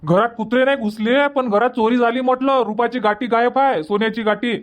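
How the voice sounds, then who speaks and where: Man voicing a high-pitched exasperated cartoon husband. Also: steady tone, brisk. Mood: insistent. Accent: Varhadi Marathi from Vidarbha. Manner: insistent, repetitive, reproachful. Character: exasperated husband and son in a village household. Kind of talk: cartoon comedy dubbing.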